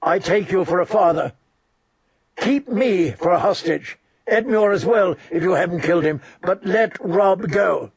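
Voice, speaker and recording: A man's voice: sits high, measured pace, gravelly, slightly rough, no echo, voice pushed up, heavily acted. A man voicing a desperate pleading noble mother. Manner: pleading, intense. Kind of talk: audiobook character voice. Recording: dull dry recording.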